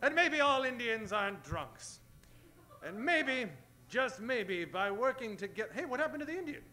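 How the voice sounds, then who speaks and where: A man's voice: extremely high pitch, big pitch swings, moderate pace, bright, forceful tone, exaggerated voice. A man playing a pompous, bumbling, bigoted Western hero in a comic old-time radio play. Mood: self-important. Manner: hammy, pompous.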